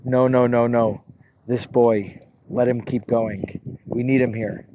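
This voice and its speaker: A man voicing a gentle, revered wise rabbi who speaks calmly and reassuringly in a folk tale. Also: mid-range voice, takes it slowly, natural voice. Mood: gentle conviction. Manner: calm, reassuring. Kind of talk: spoken religious folk tale. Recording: noisy dull recording.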